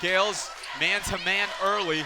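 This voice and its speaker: A man's voice: high voice, steady tone, measured pace. A man doing live radio play-by-play of basketball, describing the action in clipped phrases that rise with the play. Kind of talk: live sports commentary. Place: indoor basketball arena, courtside. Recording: noisy arena with court sounds behind.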